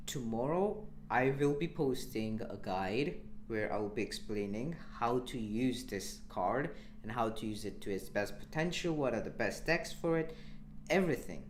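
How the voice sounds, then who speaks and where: A young man from India commentating his live game stream in a relaxed, chatty way, answering chat as he plays. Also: mid-range voice, slight background noise. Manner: relaxed, chatty, with frequent restarts and small laughs.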